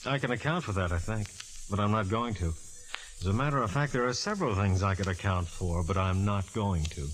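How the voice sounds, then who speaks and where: An American actor playing a gruff, sardonic, teasing police detective in a vintage 1940s radio drama. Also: male voice, on the low side, brisk, close to an everyday voice, poor audio. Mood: sly, firm. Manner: blustery, sardonic, slangy.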